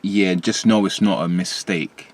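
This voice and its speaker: A man with a London accent, a rapper guest in a candid interview, telling stories in an animated way with London slang. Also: unhurried. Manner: lively, rising pitch when quoting himself.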